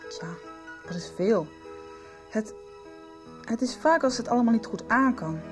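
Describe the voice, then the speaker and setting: A troubled teenage girl in a radio soap. She speaks haltingly in a fairly high voice that moves widely in pitch, with faint music behind. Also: lively intonation. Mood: hesitant, resigned. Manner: hesitant, halting. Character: troubled, hesitant teenage girl with a drinking mother.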